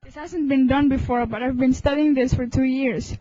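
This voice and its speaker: A woman's voice: high-pitched, steady tone, moderate pace, dark tone, no echo. A young woman playing a brusque, evasive hospital doctor in a student radio drama. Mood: businesslike. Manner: brusque, dismissive. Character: curt, evasive, irritable hospital eye doctor. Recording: muffled amateur recording, faint noise.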